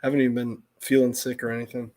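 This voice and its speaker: A middle-aged American man talks off the cuff in an everyday mid-pitched voice. He rambles through stories, doubling back and restarting his thoughts as he goes, in the relaxed style of a casual livestream. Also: rapid-fire. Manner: rambling, self-interrupting storytelling.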